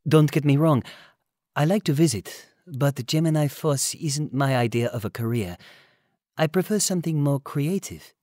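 A man plays an outspoken teenage girl in an audiobook. The pitch is raised, and the manner is chatty, frank and a little teasing. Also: normal speed, dark tone, dry recording, clean studio sound, close to an everyday voice.

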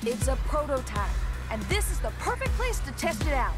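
A woman's voice: sits high, sing-song, moderate pace. A young woman playing a proud, bossy weapons inventor in a video game cutscene. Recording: dramatic music behind the voice.

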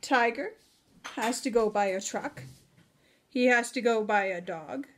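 A middle-aged woman reading a children's storybook aloud in an expressive, deliberate way for young listeners. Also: fairly high pitch, a little hiss or hum.

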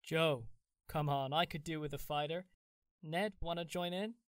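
A woman's voice: low voice, fairly even intonation, normal speed, no echo, close to an everyday voice. A woman voicing a cheerful, teasing young man in an audio drama, breezy and friendly, with a dry close sound.

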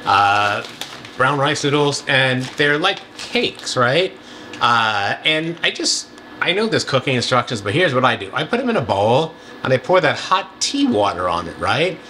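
A man's voice: a little slow, slight background noise. A middle-aged man co-hosting a cooking show, casually walking through his meal prep in a home kitchen with many fillers. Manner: easygoing, lots of fillers, pitch jumps up when excited. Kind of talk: casual cooking demo chat.

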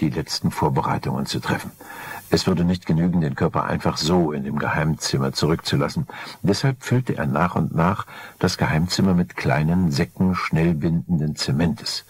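Deep husky older male narrator. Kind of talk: scripted crime story narration. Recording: 1980 vinyl record transfer.

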